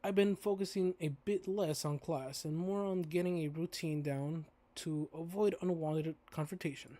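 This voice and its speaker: A raspy-voiced streamer reading a visual novel aloud in a casual playthrough, stumbling over and rereading some lines. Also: medium pitch, dark tone. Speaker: game streamer.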